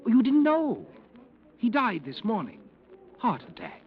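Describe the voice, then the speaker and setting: A man's voice: very high voice, wildly swooping pitch, unhurried, very noisy, heavily acted. A man playing an obliging, chatty hotel desk clerk in 1940s radio drama style. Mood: eager, gossipy. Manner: obliging, gossipy. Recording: dull old radio recording.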